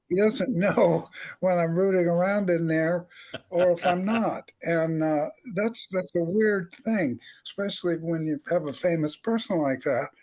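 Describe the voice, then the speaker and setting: A man's voice: a little high, a little mellow. An older man, a comedy writer on a panel, telling anecdotes in a wry, rambling, chuckling way. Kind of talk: animated anecdotal panel talk.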